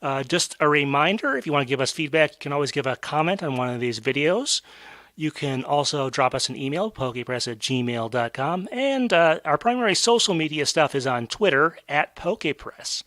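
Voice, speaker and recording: A man hosting a casual podcast chat, his voice bright and forward. He rambles, circling back with plenty of ums and restarts. The sound is close and dry, with no room behind it. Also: fairly high pitch, expressive, moderate pace, no echo. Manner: rambling with many fillers and restarts. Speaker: podcast host. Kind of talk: casual discussion.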